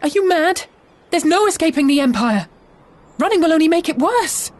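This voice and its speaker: Very high, frightened village woman. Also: animated, normal speed, a little mellow. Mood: alarmed, hopeless. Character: frightened, defeated female villager. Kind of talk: video game cutscene acting.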